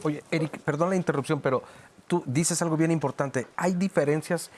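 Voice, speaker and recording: A man's voice: on the high side, normal speed, a little mellow, a little hiss or hum. A man with a Mexican accent co-hosting a talk show, politely cutting in with a question. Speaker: co-host.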